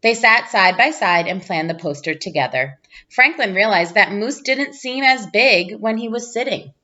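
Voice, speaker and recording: A woman narrates a children's storybook in an expressive read-aloud style. Her voice sits at a middle pitch but moves up and down a great deal. The recording is slightly dull. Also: moderate pace. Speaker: storybook reader, teacher.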